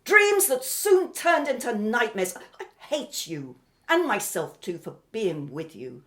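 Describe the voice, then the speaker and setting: A woman's voice: on the high side, very animated, unhurried, fairly bright tone. A woman playing a bitter, scornful young woman in a radio drama, accusing and emphatic in her delivery. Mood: bitter, resolved.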